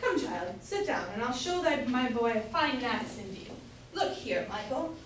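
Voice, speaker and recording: A woman's voice: on the high side, sing-song, a little slow. A woman playing a doting, gossipy London mother in an amateur staged reading of an old comedy, speaking archaic English. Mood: doting, boastful. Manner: archaic English, fond addressing of her boy. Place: indoor room, staged reading. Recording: very echoey room, distant mic, faint noise.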